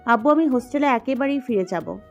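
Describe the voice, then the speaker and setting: A woman's voice: fairly high pitch, expressive, quick pace, a little mellow, highs cut off. A woman playing a stubborn, hurt young woman who speaks in firm, curt replies in an audio story. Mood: firm, resolute. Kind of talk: Bengali audio story dialogue. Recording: music bed under the voice.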